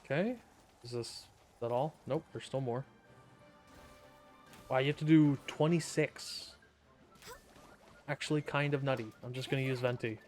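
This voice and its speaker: A laid-back male streamer who chats casually and jokes in slang while he plays. His voice sits at a mid pitch, and soft game music plays in the background. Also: fairly even intonation, moderate pace, long pauses. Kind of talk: casual gameplay commentary.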